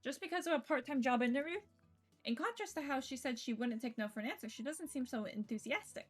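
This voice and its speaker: A woman streams a story game, reading its narration aloud and adding casual asides. Her pitch sits a little high and moves around in an animated way. Game music plays lightly behind her. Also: fast.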